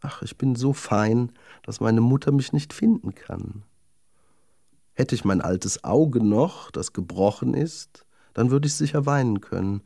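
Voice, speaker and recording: A man's voice: medium pitch, a little slow, creaky, mellow, no room sound, studio quality. A man voicing a vain, haughty young lady darning needle in a children's fairy tale, proud and genteel in manner. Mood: self-admiring. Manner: proud, self-satisfied, genteel.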